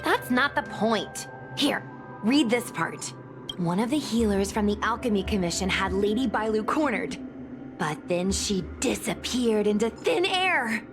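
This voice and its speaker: A woman voicing a serious, level-headed young investigator in a video game, measured and matter-of-fact. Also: mid-range voice, lively intonation. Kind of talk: video game character dialogue. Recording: music behind the voice. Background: game music.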